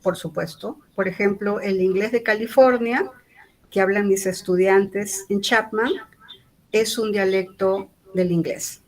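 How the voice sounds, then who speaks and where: A woman's voice: medium pitch, fairly even intonation, a little slow, rough recording. A woman from Peru with a Lima accent, giving a university lecture in an explanatory way, often checking in with the audience through tag questions. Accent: Lima Spanish.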